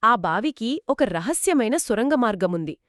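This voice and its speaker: A woman narrating a folk tale in a lively, expressive storytelling manner, trading lines with a co-host. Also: fairly high pitch, moderate pace, no echo, clean studio sound. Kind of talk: scripted two-voice story narration.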